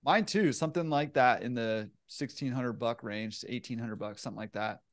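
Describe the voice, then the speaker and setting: A man's voice: medium pitch, normal speed. A man in his thirties hosting a podcast, easygoing and chatty, speaking into a headset on a video call. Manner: easygoing, laughs along, short affirmations. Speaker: podcast host. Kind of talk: casual interview chat. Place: room with bookshelf.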